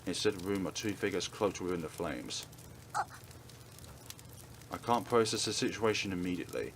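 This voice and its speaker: A man's voice: low-pitched, normal speed, slight background noise. A man reading a visual novel aloud in a dramatic narrating style as a let's play host. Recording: music playing behind. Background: game music.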